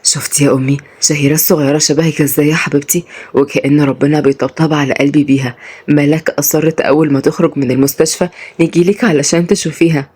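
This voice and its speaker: A man's voice: mid-range voice, rapid-fire, voice pushed down, natural voice. A woman voicing a teasing but tender husband in an Egyptian Arabic story, with an Egyptian accent. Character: adult husband, teasing, tender, reformed rich man turned mechanic.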